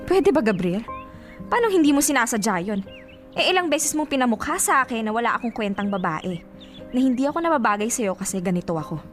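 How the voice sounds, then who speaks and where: A woman's voice: on the high side, lively intonation, fast, lightly acted. An actress with a Filipino accent playing a hurt, spurned young woman, proud and reproachful, in an emotional radio drama. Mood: cold, reproachful. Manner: wounded, reproachful. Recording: music under the dialogue. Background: music.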